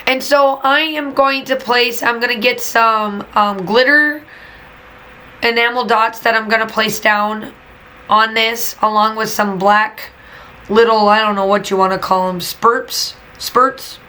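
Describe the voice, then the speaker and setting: A woman talks in a casual crafting voiceover, her tone bright and a touch high. She vents in a chatty, emphatic way and repeats herself for effect, and her voice is worn from teaching. A faint hiss sits under the recording. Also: steady tone, a little slow. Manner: chatty, venting, emphatic repetitions. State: losing her voice. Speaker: teacher and scrapbooking channel host. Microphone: voiceover mic.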